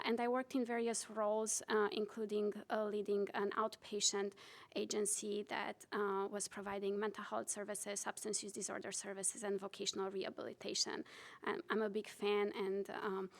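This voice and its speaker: Woman from romania giving a council presentation, flat steady pitch, lots of uhs. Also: creaky. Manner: earnest, many "uh" fillers. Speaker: county director presenting to council. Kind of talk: formal presentation to a city council.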